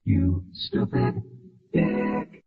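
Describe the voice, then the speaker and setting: A man putting on a shrill, mocking jingle voice with exaggerated, drawn-out delivery. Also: muffled recording, faint music behind.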